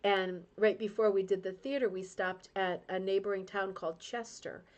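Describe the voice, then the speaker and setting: A woman's voice: mid-range voice, fairly even intonation, moderate pace. A middle-aged woman from Rhode Island, hosting a crafting club livestream in casual, emotional chat and reading out viewer comments, with frequent tag questions. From Rhode Island, United States.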